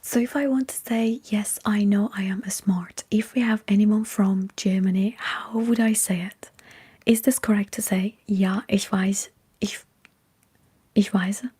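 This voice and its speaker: Female ASMR streamer, mellow and heavily whispered, breathy, unhurried and halting, chatting to live viewers. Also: mid-range voice, fairly even intonation. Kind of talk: whispered ASMR livestream chat.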